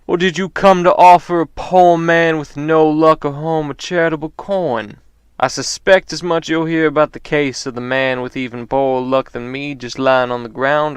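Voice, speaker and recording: A man's voice: on the high side, smooth, no room sound, lightly acted. A man playing a wry, world-weary homeless informant, delivering a theatrical, knowing monologue in a noir audio drama. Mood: sardonic, knowing.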